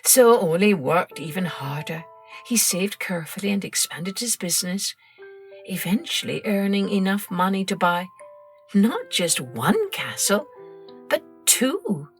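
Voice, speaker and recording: A woman narrating a children's story in an expressive, scripted storytelling style, like a podcast host. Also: medium pitch, unhurried, faint music behind.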